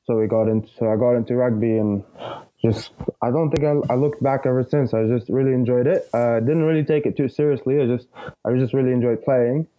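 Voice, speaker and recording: A man's voice: medium pitch, fairly even intonation, brisk, dull audio. A man in his twenties from Egypt, a rugby player, chatting casually as a podcast guest, laughing often and using many fillers. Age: 20s.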